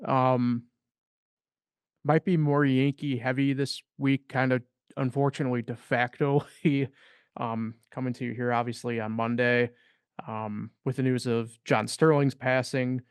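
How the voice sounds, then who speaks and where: Young guy cohosting a sports pod, smooth voice, rambly, dry sound. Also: medium pitch, level tone, a little slow, no echo. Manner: rambling, lots of fillers. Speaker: podcast co-host. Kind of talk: casual sports chat.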